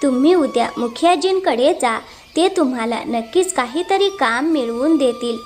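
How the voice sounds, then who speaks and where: A woman voicing a gentle, sorrowful young village wife with plaintive, earnest delivery in a cartoon moral story. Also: high-pitched, animated, moderate pace, soft background music. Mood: consoling.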